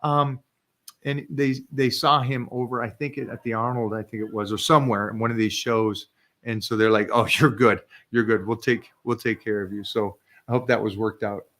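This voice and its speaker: A man coaching viewers on a live stream, energetic and emphatic. He keeps tripping over repeated words when he gets excited. His voice sits in an ordinary middle register. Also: medium pitch, normal speed. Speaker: fitness coach host.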